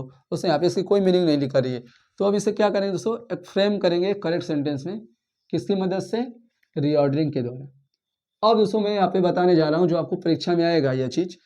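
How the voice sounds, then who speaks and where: A man teaches a grammar lesson. His voice sits high, but its tone is soft and mellow. He keeps calling his listeners friends, asking questions and answering them, and repeating his points as he goes. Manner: counts words aloud, repeats and rephrases points. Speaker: school English teacher.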